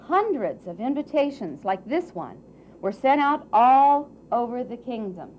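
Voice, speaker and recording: A woman telling a children's story live in an expressive, theatrical and deliberate way, as a puppet show storyteller. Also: fairly high pitch. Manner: theatrical, deliberate, coaxing audience questions. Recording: dull, faintly noisy live recording.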